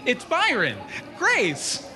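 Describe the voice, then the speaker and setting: A man playing a flippant, rattled, disgraced newsman in an audio comedy, excitable, wisecracking and stammering. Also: extremely high pitch, extremely slow, full character voice. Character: disgraced male fake-news journalist, flippant and rattled. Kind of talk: scripted audio comedy acting. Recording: music under the voice.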